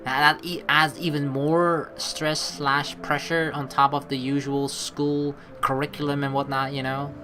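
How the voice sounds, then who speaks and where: A man's voice: a little high, flat delivery. Rambling man doing game commentary over music. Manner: rambling, reflective, halting. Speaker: let's play host. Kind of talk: casual game commentary mixed with reading dialogue aloud.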